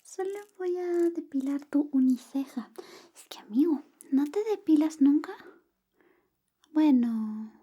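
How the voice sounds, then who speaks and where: A woman whispering softly and gently in an ASMR roleplay, playing a friend who grooms you. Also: high voice, lively intonation, takes it slowly, lo-fi sound. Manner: soft whispering, close and gentle.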